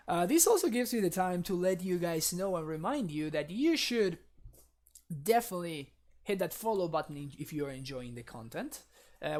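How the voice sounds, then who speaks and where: A man's voice: a little high, a little mellow. A young man, a native Spanish speaker with a Spanish accent, chatting casually with viewers while streaming a card game, often laughing. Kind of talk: casual live-stream commentary.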